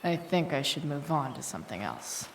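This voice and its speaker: A woman's voice: low-pitched, sing-song, moderate pace, far from the mic, noisy background. An actress playing a rebellious, foul-mouthed teenage girl in a video game drama, blunt and sardonic. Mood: wry, focused. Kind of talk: naturalistic video game drama acting, muttering to herself.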